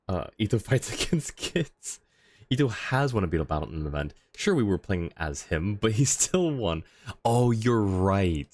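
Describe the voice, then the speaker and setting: Low-voiced man livestreaming casually. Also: big pitch swings, a little slow, darkish tone, dry recording. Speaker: game livestreamer. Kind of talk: casual livestream commentary, chatting with viewers.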